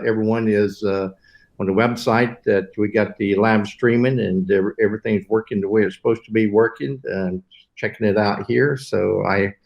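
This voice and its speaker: Earnest older man, roomy sound. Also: mid-range voice. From southern United States. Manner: earnest, with occasional self-corrections. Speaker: webinar host. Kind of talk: prepared webinar talk. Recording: roomy echo.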